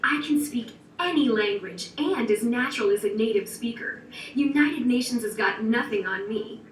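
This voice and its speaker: A woman's voice: a little high, expressive, normal speed, far from the mic, a little hiss or hum, natural voice. A woman playing a chirpy virtual receptionist. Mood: cheerful. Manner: chirpy, scripted sales patter. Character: upbeat polite young female virtual receptionist. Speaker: virtual assistant demo voice. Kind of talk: scripted promotional lines. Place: large showroom. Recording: played back in a roomy showroom, heard from afar.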